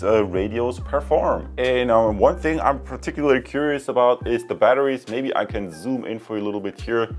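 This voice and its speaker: A man's voice: lowish voice, a little mellow. A man giving a casual, unscripted product review in a rambling, conversational way.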